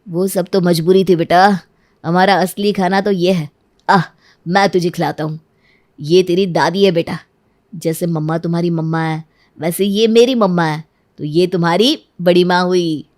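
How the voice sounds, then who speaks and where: A woman's voice: lowish voice, fairly even intonation, normal speed, darkish tone, lightly acted. Woman acting an affectionate family man. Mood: tender. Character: devoted adult son and father, affectionate man. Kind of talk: Hindi cartoon story acting.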